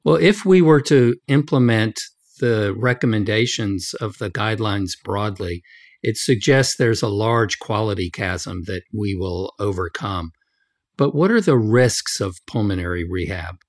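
A man's voice: on the low side, measured pace. A man hosting a medical podcast, putting formal questions to a guest. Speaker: podcast host, physician.

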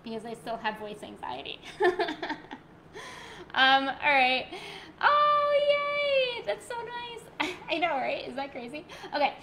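Yoga teacher lady on her laptop mic at home, bright clear voice, chatty and warm with her class, faint room hiss. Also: high-pitched, very animated. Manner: soft, slow guided cues, then bubbly rambling chat. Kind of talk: live-streamed yoga class, chatting with viewers. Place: living room at home, indoors. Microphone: laptop mic, webcam framing.

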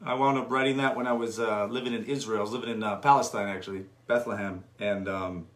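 A man in his thirties, a singer-songwriter, talking about his songs in a relaxed, soft-spoken way with many fillers, recorded on a phone at home. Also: fairly low pitch, fast talker, roomy sound. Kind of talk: live acoustic performance with spoken song introductions. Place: home room indoors. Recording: loud acoustic guitar under the voice. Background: his own acoustic guitar.

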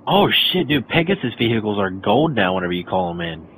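A young man on headset voice chat, excitable and cursing a lot while playing an online game. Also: on the low side, a little fast, slight background noise, low-quality recording. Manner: excitable, swears often. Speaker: gamer. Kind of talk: casual gaming chat.

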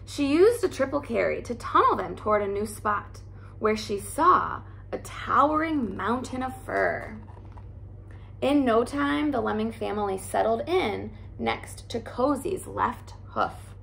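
A woman in her thirties reads a picture book aloud in a soft, cozy storytelling voice. She goes slowly, with a gravelly edge and a slightly raised pitch. She sits close to the camera in a living room, with a faint hiss behind her. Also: expressive, rough recording. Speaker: teacher reading aloud.

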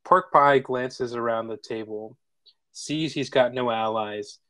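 A man narrates the action of a screenplay at a table read. His voice is medium in pitch, and the sound is very dry, with no room to it. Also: slow pace, sharp tone, no echo.

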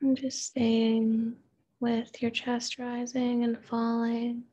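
A woman therapist leading a guided meditation in a gentle, unhurried manner, with pauses and open questions. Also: on the high side, monotone, husky, highs cut off.

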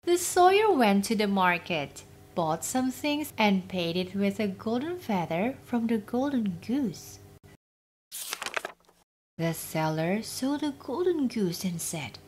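A woman narrating a children's fairytale as storyteller, expressive and deliberate, with faint noise. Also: medium pitch.

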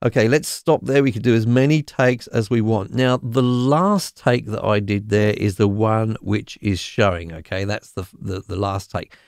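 A man with a slightly croaky voice explains music software in a relaxed, chatty way, often checking in with "okay?". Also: on the low side, moderate pace, few pauses, no room sound. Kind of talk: screen-recorded software tutorial.

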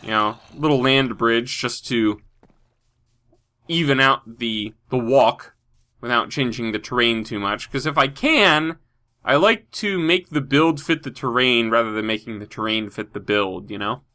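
A man's voice: medium pitch, a little slow, sharp tone. A man with a stuffy nose from a cold, rambling through casual Minecraft commentary with many fillers. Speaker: Minecraft video host.

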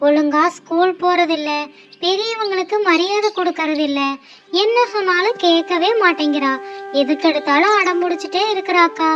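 A worried young mother, voiced by a woman in a children's moral cartoon. Her voice is extremely high and plaintive, with music clearly behind it. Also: normal speed, fairly bright tone, muffled recording. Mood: worried, pleading. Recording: music under the voice.

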